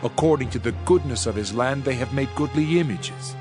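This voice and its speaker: Male scripture narrator, solemn, declamatory, dramatized delivery, medium pitch, background music. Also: brisk. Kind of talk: dramatized reading of King James scripture.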